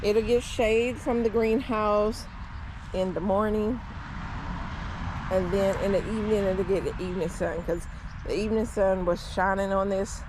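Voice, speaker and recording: A woman with a Southern US accent, chatting in a relaxed, tired way as she tours her backyard garden in the evening. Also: medium pitch, unhurried. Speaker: gardening vlogger. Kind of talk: casual garden tour. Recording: outdoor recording with clear background noise. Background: outdoor ambience with traffic.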